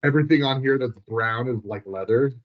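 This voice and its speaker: A man with a mid-pitched voice is chatting casually. He rambles informally and drops "like" into his sentences often. The recording is dull and a little lo-fi. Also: fairly quick. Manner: rambling, informal, heavy use of "like". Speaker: hobbyist tabletop game master. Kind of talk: casual chat.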